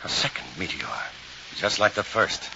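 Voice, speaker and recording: An actor playing a grave, urgent scientist with deliberate, dramatic delivery in a 1950s-style radio drama, on an old vinyl transfer. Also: male voice, on the low side, expressive, gravelly. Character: grave, urgent male scientist facing alien invasion. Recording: dull, slightly noisy vinyl radio transfer.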